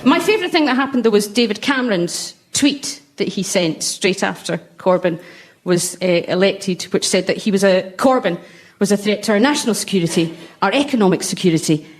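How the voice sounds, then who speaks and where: A woman's voice: medium pitch, fairly quick. A woman telling wry, hesitant comic anecdotes as a panellist on a comedy show, in front of a laughing audience. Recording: noisy live recording with audience laughter. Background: studio audience laughter.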